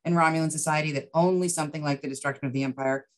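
A middle-aged woman with a distinctly low-pitched voice answers as an interview guest. She explains things in a thoughtful, conversational way over a video call. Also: fairly even intonation, rapid-fire. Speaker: interview guest, writer and producer. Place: indoors, book-lined room, video call.

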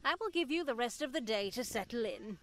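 A woman playing a pious, stern older nun in a visual novel game, speaking in a measured, formal, sermonizing way. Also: fairly high pitch, lively intonation, a bit bright. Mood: benevolent, formal. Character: pious, stern older nun addressing girls in her care.

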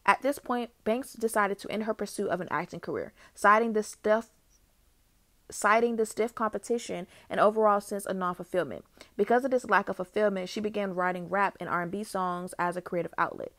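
A young woman hosting her own channel, reading a biography aloud in a steady way with small restarts. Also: medium pitch, a little fast, no echo. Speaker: YouTube host. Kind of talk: read-aloud biography narration.

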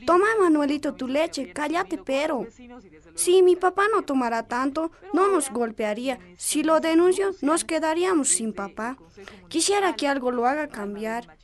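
A woman's voice: sits high, animated, normal speed. An actress with a Bolivian accent playing a guarded, dutiful teenage girl in a radio drama. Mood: sad, tender.

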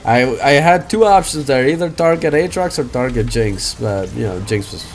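A young man casually commentating his own gameplay on a headset mic, swinging into loud, swearing outbursts. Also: mid-range voice, lively intonation, moderate pace. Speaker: game streamer. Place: small room indoors.

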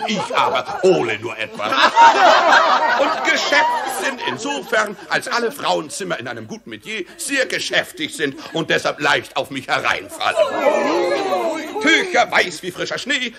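An actor playing a merry, sly, boastful wandering peddler with theatrical sing-song delivery in a 1960s German radio play. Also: male voice, high voice, gravelly, full character voice. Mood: hawking, exuberant. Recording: 1960s radio production, music and crowd behind.

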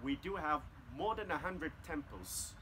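A man's voice: on the high side, lively intonation, unhurried, bright, forceful tone, a little hiss or hum. A Cambodian man in his thirties, a tour guide speaking careful non-native English while explaining a temple outdoors to the camera. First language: Khmer. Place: outdoors among jungle temple ruins.

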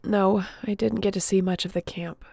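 A woman's voice: mid-range voice, fairly even intonation, normal speed, vocal fry, a little mellow, no room sound. A woman playing a resilient young apocalypse survivor in an audio roleplay, speaking intimately and earnestly with a wry edge. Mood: determined, planning. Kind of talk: dramatic audio roleplay addressed to the listener.

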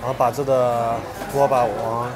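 A man's voice: mid-range voice, flat delivery, normal speed, rough recording. A man in his thirties casually describing food as he tastes it at a busy night street market, speaking into a collar mic. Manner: describes food closely while eating. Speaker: food vlogger. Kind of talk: casual food tasting commentary. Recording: loud crowd behind the voice. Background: bustling crowd.

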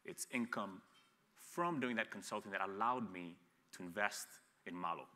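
A man in his thirties with a very low, bright and forceful voice, talking bluntly on a panel stage. He restarts and repeats words as he goes. His voice rings with the long reverb of a hall. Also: moderate pace. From grew up in Ethiopia. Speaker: panelist, agribusiness entrepreneur. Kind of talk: candid panel talk. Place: studio stage set, seated in an armchair.

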